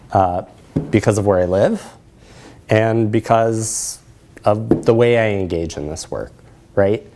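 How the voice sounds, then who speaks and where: A man's voice: fairly low pitch, a little mellow, slight background noise. A man around forty giving a conference talk in a lecture hall, speaking reflectively and checking in with listeners. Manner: reflective, asks check-in questions. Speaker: presenter, social work researcher. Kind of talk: conference talk with audience discussion.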